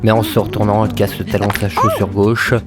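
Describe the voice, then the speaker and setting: Man playing low-voiced, deadpan, bossy police inspector. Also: fast, lightly acted. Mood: self-assured, explaining. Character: exasperated, bossy, self-assured male police inspector. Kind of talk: comedic audio drama acting. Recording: music under the voice.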